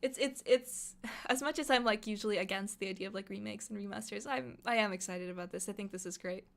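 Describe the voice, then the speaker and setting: A young woman, a native Russian speaker, chatting excitedly on a live stream and reading out chat questions. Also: medium pitch, fast talker, gravelly. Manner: chatty, excitable, thinks aloud with fillers.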